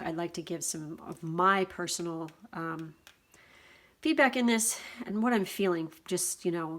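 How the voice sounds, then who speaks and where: A woman with a slightly worn, hoarse voice giving a casual general oracle card reading, swearing freely. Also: lowish voice. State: voice going hoarse. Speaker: tarot reader.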